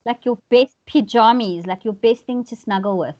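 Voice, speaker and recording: A woman's voice: fairly high pitch, normal speed, a little mellow. South African woman on a dull lo-fi stream. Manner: rambling, self-mocking asides. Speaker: co-host of a live stream. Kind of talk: casual chat.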